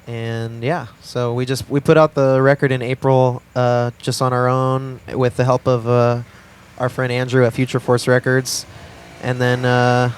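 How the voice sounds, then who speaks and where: A man's voice: medium pitch, flat delivery, measured pace, few pauses, clear, resonant tone. A man, a band member talking easily about shows and records. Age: 20s. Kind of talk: casual interview.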